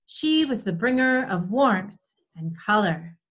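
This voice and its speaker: A woman's voice: medium pitch, big pitch swings, slow pace, a bit bright. A woman in her forties giving scripted storytelling for children, recorded over a video call from a room at home. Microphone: video call computer mic.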